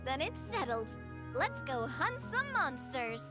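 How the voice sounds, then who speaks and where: A lively, bright-voiced young leader. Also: female voice, high voice, a little slow. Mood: playful. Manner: animated, teasing. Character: lively, confident young woman leader, playful. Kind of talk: video game voice acting. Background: game music.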